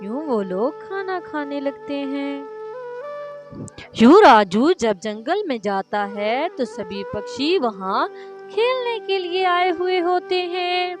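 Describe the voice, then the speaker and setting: Female children's story narrator, high register, measured slow read, light music underneath. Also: expressive. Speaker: cartoon narrator. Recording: music behind the voice.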